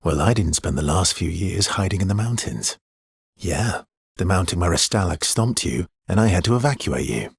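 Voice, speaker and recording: An actor playing a wry, loyal, world-weary swordsman in a video game, with a husky, breathy voice and a dry, teasing manner. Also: deep voice, animated, normal speed, no echo, heavily acted.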